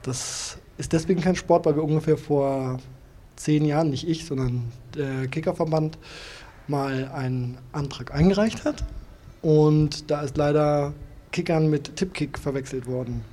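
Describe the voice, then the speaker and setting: Mellow-voiced man explaining precisely. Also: fairly high pitch, a little slow. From Germany, Hannover. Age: 30s. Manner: precise, explanatory, walks through trick shots step by step. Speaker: table football player. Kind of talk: interview statements.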